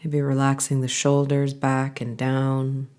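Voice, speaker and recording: A woman guiding a body scan meditation, giving calm, gentle instructions in an unhurried way with pauses. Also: extremely low pitch. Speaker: meditation guide.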